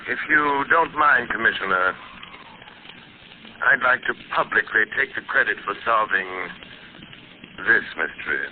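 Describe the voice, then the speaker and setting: An actor playing a mysterious male crime fighter who speaks in a cool, measured, quietly taunting way, in a 1930s radio drama acting style. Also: mid-range voice, expressive, natural voice. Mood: calm. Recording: tinny, hissy old radio recording.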